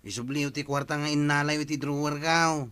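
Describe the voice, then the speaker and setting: Man acting as an aggrieved, bright-voiced Ilocano villager. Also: fairly high pitch, fairly even intonation, fairly quick, clear, resonant tone, no echo, natural voice. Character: aggrieved villager firmly demanding his stolen money back. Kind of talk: Ilocano comedy drama acting.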